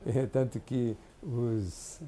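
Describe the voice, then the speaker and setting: A man, recalling his family in an oral history interview, speaking haltingly with many restarts and tag questions. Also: medium pitch, fairly even intonation, mellow, slight background noise. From Brazil. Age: 70s. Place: clinic office.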